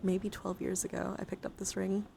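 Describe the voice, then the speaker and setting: Casting: young female ASMR streamer, soft and whispery with vocal fry, rambling and excitable, close mic over some noise. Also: medium pitch, moderate pace. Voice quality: soft, often breaking into whispers. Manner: rambling, giggly, easily excited. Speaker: live streamer. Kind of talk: casual ASMR chat to viewers.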